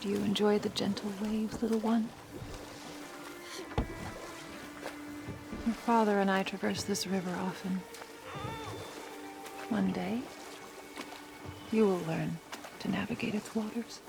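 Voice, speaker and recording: A woman playing a gentle, wry Norse warrior mother in a cinematic video game, speaking tenderly and with measured, soft teasing. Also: mid-range voice, steady tone, gravelly, close to an everyday voice. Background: music.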